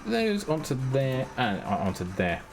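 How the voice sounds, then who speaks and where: A man's voice: mid-range voice, wildly swooping pitch, measured pace. A man streaming a building game, muttering casually step by step to himself with self-mocking asides. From Britain. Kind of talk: live-stream gameplay commentary. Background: his own background music.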